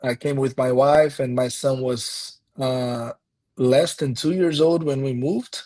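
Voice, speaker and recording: A man's voice: medium pitch, fairly even intonation, takes it slowly, darkish tone. A Brazilian man in his thirties with a Brazilian accent, Portuguese his first language, answering interview questions as a guest pastor, earnest and reflective, often restarting his sentences. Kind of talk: conversational interview.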